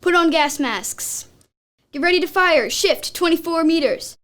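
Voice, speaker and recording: A woman's voice: extremely high pitch, lively intonation, unhurried, fairly bright tone, heavily acted. A woman playing an artillery officer in a radio play, barking curt firing orders. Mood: urgent, commanding. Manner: curt, barked commands.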